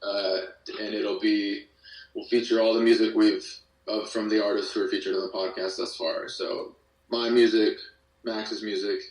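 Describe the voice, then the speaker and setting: Lowish, even-voiced man in an echoey room. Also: moderate pace. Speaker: podcast guest, musician and audio drama creator. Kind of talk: casual interview chat. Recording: echoey room, distant from mic.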